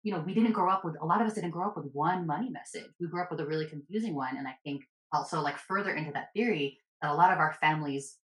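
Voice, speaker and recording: A woman from the United States, a guest in a casual conversation, telling things in a lively way and saying "like" often. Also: deep voice, fast, few pauses. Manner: lively storyteller, heavy use of "like". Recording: echoey room.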